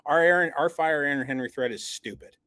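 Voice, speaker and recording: A man's voice: on the high side, fairly quick, fairly bright tone, no room sound, clean studio sound. A man co-hosting a sports podcast, giving post-game analysis in an emphatic, blunt way and stumbling over repeated words as he builds up steam. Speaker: podcast co-host.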